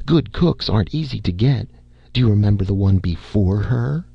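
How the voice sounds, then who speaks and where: Guy putting on a flustered rich wife, higher voice, rushed and fretful, gravelly underneath, dull old recording. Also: expressive, no echo, full character voice. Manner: hurried, fretful. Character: anxious upper-class wife, middle-aged.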